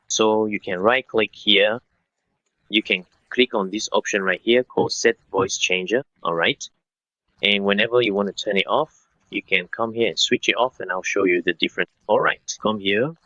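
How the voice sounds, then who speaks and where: A man in his thirties narrating a step-by-step software tutorial in an instructional way, speaking directly to viewers. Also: on the low side, moderate pace, no echo, lo-fi sound. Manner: instructional, addresses viewers as guys.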